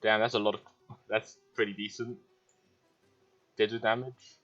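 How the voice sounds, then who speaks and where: Casting: male gamer, fairly low voice, casual play-along commentary, slightly dull recording. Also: little pitch movement, normal speed, a bit bright, soft background music. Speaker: gaming YouTuber.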